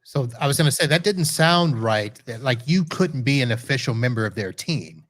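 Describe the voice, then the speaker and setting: A man hosting a podcast, chatting casually and teasing his guest in a relaxed way. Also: medium pitch, moderate pace. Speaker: podcast host. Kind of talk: casual chat.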